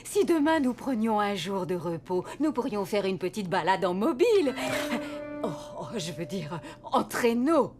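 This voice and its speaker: A woman's voice: medium pitch, big pitch swings, measured pace, darkish tone, faint music behind. An actress playing a kindly, flustered motherly housekeeper in a French cartoon, fussy and hesitant as she chatters. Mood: forced cheer. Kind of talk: French animated series dub.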